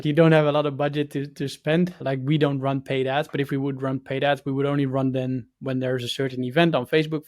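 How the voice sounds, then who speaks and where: Guy hosting a podcast, normal pitch, casual interview chat, pretty dry audio. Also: darkish tone, no room sound. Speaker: podcast host.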